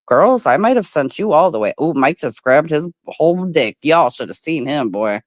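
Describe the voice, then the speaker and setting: A woman's voice: deep voice, expressive, moderate pace, dry recording, clean studio sound. A woman from the Midwest of the United States hosting a casual livestream chat, talking loosely, swearing freely and laughing often. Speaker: livestream host.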